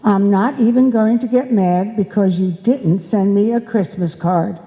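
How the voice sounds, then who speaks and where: A woman's voice: medium pitch, a little slow, warm tone, lightly acted. A woman playing a nagging, long-suffering wife who needles her husband in a live old-time radio comedy. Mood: reproachful. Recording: reverberant live hall.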